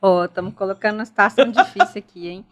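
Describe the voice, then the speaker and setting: A woman's voice: mid-range voice, very animated, fast talker. A middle-aged woman with a Brazilian Portuguese accent, speaking earnestly and reflectively as a guest in a casual podcast interview. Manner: earnest, reflective, stresses respect and dialogue. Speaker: guest, school director.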